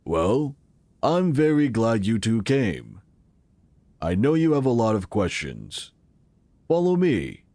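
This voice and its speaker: A man playing an affable, enigmatic tech company founder in an audio drama, measured and welcoming. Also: medium pitch, huge pitch swings, dark tone, no room sound, full character voice. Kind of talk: full-cast audio drama acting.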